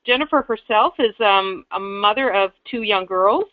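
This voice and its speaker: A woman's voice: mid-range voice, moderate pace, no room sound. A woman hosting a webinar, introducing speakers in a hesitant way with many fillers and restarts. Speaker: webinar host. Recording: dull lo-fi webinar audio.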